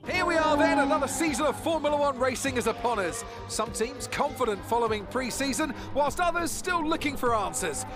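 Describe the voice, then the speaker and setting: A man as an excited motorsport television commentator, projecting and announcing in a racing video game. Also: very high-pitched, a little fast, few pauses, over music. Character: excited male Formula One television commentator. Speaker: sports commentator.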